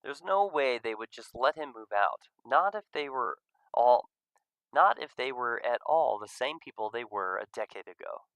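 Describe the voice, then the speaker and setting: A man reading a visual novel aloud as an expressive first-person narrator. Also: medium pitch, normal speed, no room sound, rough recording.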